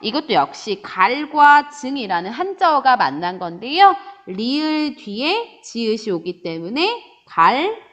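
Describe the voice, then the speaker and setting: Female grammar teacher, high and animated, drawls example words slowly for students. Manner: lively teacher voice, answers imagined student questions, drawn-out example words. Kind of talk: online grammar lecture.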